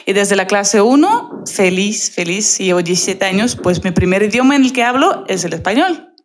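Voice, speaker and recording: A woman from Russia in her thirties, a lively and talkative podcast guest who laughs often, speaking Spanish learned as a second language. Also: medium pitch, moderate pace. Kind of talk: casual interview chat.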